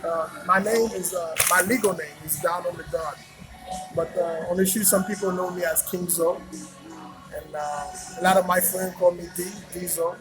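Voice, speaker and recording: A man's voice: high-pitched, steady tone, moderate pace, music in the background, rough recording. A man, answering interview questions in a loose, rambling way full of fillers and repeats. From Haiti. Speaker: interview guest. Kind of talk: casual conversational interview.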